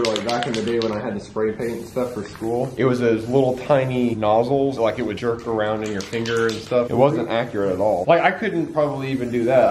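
A man's voice: mid-range voice, a little mellow. A young man vlogging casually at home, joking and teasing, a bit congested. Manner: chatty, joking, drawn-out teasing. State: feels congested. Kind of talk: casual chat. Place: large carpeted living room indoors. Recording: echoey room, faint noise.

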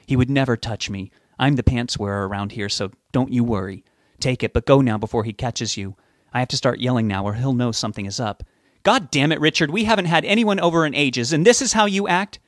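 A man's voice: medium pitch, a little fast, dry recording, clean studio sound, lightly acted. A man putting on a feisty, bossy wife. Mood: kindly, hushed. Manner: snappish, scolding. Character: bossy, feisty wife, scolding yet kindly.

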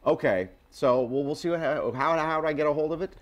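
A man's voice: a little high, a little fast. A middle-aged man anchoring a morning news show in a TV studio, joking and bantering with his guest.

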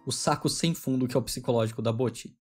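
Fast, wry male video essay narrator. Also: mid-range voice, darkish tone, husky, soft background music. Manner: brisk, opinionated, wry delivery.

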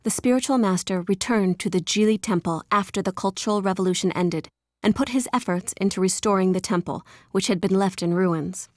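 A woman reading scripted documentary narration in a calm, measured, even way. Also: mid-range voice, no room sound, studio quality.

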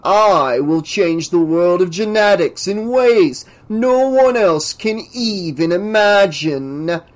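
A man voicing a pompous, demanding male scientist obsessed with his own genius, in a drawn-out, grandiose delivery for an audiobook story. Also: high-pitched, expressive, pitched above the performer's own voice.

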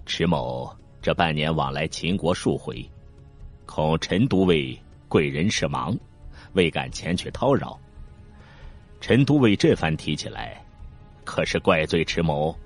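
Casting: composed merchant of ancient China, very low voice, slow, formal and deferential, audiobook drama. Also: male voice, lively intonation, creaky, breathy edge, heavily acted. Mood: politely composed. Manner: formal, deferential archaic phrasing. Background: music.

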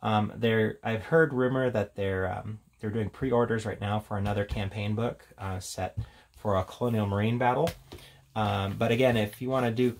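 Low-voiced man with a gravelly creak. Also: fairly even intonation, normal speed, a little hiss or hum. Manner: informal, off-the-cuff. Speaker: reviewer, presenter. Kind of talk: casual unboxing and review chat.

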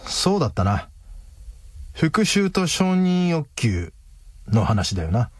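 An actor playing a blunt, literal-minded male agent with a deadpan, earnest manner in a comedic radio drama. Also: fairly high pitch, takes it slowly, full character voice.

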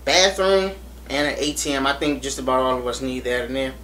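A young American man vlogging to camera in a small room, hyped and animated, repeating himself as he urges viewers to come. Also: medium pitch, a little fast, slight background noise. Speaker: reptile channel vlogger. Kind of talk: casual to-camera promo vlog. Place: small indoor room against a plain wall.

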